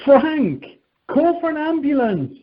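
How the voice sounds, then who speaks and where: Dull telephone-conference sound carries an actress as a capable airline crew woman. Her highish voice is brisk, in radio drama style. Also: sing-song, heavily acted. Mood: urgent. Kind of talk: amateur radio play acting.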